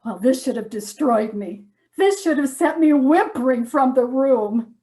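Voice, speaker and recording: A woman performing a theatrical comic monologue as first-person storytelling, with dramatic, mock-solemn delivery and deliberate pauses. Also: high voice, animated, mellow. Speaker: stage performer.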